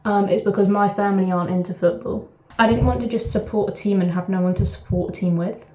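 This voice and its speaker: A woman's voice: mid-range voice, steady tone, fast, mellow. Chatty southern English woman in an echoey bathroom. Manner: rambling, chatty, self-interrupting asides. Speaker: vlogger. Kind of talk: casual chat to camera. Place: sitting in a bath, bathroom.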